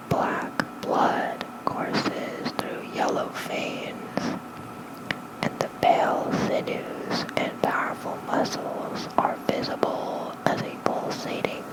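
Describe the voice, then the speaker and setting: Soft breathy whisper, unhurried ASMR reading, gentle, casual asides, close mic. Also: low-quality recording. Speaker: ASMR creator reading lore. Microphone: close ASMR mic with fluffy cover.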